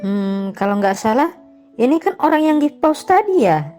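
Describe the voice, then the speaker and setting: Coquettish young wife voiced by a woman, higher-pitched. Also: sing-song, normal speed, music in the background. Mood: musing, casual. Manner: casual, coquettish. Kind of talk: animated drama dialogue, colloquial Indonesian slang.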